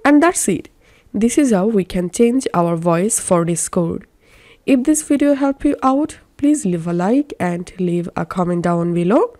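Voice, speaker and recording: A woman narrating a step-by-step software tutorial in a deliberate, instructional manner. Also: mid-range voice, animated, dry recording. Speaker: tutorial presenter.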